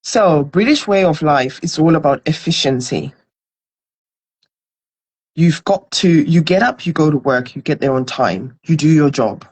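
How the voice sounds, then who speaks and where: A woman's voice: low-pitched, lively intonation, a little slow, a little mellow, slightly rough. A woman from Zimbabwe, a guest in a conversational interview, explaining earnestly with everyday examples.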